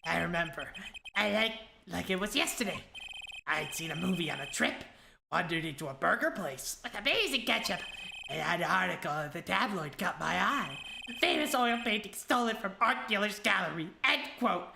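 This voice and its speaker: A woman's voice: fairly low pitch, animated, normal speed, gravelly, background noise. A woman voicing a brash, self-important male tabloid journalist who rambles and quotes himself, in a fan dub of a game. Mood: rambling. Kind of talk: fan voice acting over a game playthrough. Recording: roomy room with game music. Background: game music.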